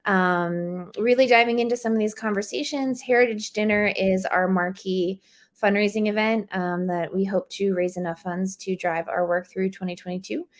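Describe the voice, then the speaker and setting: A woman's voice: mid-range voice, steady tone, normal speed. A woman representing a charity, speaking in an upbeat, polished way as a guest. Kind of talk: interview guest.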